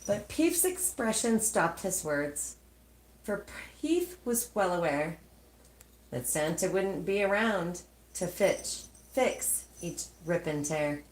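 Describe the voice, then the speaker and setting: A woman reading a rhyming children's storybook aloud, with dramatic pauses between short phrases. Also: lowish voice, expressive, roomy sound, rough recording.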